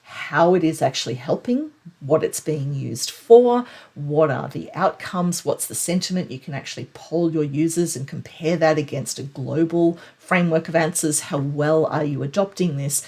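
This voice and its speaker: Low-pitched, slightly husky woman presenter. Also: moderate pace, darkish tone. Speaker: tech presenter. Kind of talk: explanatory product news recap to camera.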